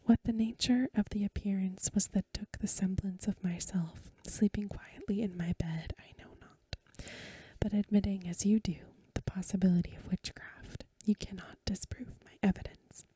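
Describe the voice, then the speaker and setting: A woman reading aloud in a hushed, measured, breathy whisper, in the soft-spoken style of a bedtime ASMR recording. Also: medium pitch, fairly even intonation, no echo.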